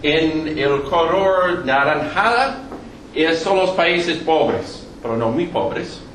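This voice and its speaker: A middle-aged New Yorker, a native English speaker with a strong American English accent, giving a lecture in a hall, joking often and pausing on fillers. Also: male voice, a little high, lively intonation, fairly bright tone. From New York, United States. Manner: halting, many fillers, joking asides. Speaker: guest lecturer. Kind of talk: public lecture with jokes. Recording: echoey hall, distant mic, background noise.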